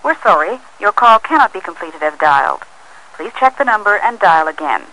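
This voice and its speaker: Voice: mid-range voice, sing-song, normal speed, sharp tone. A performer voicing an impersonal telephone operator recording in a video game, polite and formulaic. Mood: neutral, polite. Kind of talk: recorded automated announcement. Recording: noisy telephone-style recording.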